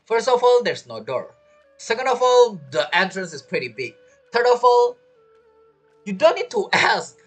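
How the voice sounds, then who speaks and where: A man's voice: very high voice, wildly swooping pitch, moderate pace, soft background music. A young man doing casual, joking playthrough commentary, reacting aloud to a video game. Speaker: gaming streamer.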